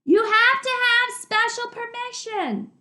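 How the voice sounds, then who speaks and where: A woman reading a storybook aloud, voicing a female tunnel worker who shouts brisk, drawn-out instructions. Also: very high-pitched, pitched above the performer's own voice, heavily acted. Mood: loud, brisk. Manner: loud, drawn-out shouting over noise. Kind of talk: storybook read-aloud character voice.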